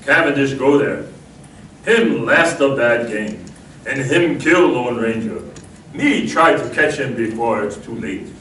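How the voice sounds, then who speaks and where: A man's voice: mid-range voice, expressive, a little slow, fairly bright tone. A man playing a loyal, solemn cowboy's sidekick in an old-time radio western, speaking in clipped pidgin phrases. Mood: urgent. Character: Tonto, the masked man's loyal Native sidekick, man. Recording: echoey room from far off, faint noise.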